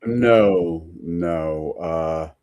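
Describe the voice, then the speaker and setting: A man chatting casually on a panel, speaking hesitantly with many pauses and fillers. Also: deep voice, highs cut off, a crowd in the background.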